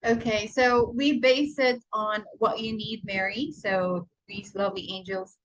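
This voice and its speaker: A woman's voice: mid-range voice, steady tone, a little slow, fairly bright tone, muffled recording. A woman recruiter hosting a video-call interview, giving brief, practical replies. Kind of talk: casual video-call coordination.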